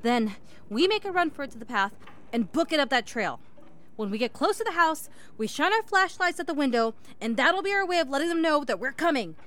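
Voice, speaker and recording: A woman's voice: sits high, sing-song, fairly quick, fairly bright tone. A woman acting in an audio drama as a resourceful, reassuring hiker who rambles and swears under pressure. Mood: urgent planning. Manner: naturalistic, hesitant rambling.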